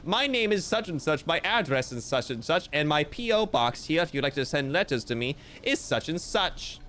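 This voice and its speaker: Playful man with a lively, bouncing voice. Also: fairly high pitch, normal speed, slight background noise. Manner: playful, theatrical, mock-grandiose joking. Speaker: streamer. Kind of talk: casual livestream chat reading viewer comments.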